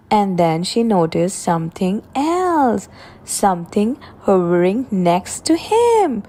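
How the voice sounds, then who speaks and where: A woman reading picture books aloud for young children in a deliberate, expressive way, drawing out her words. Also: mid-range voice. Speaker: children's story reader.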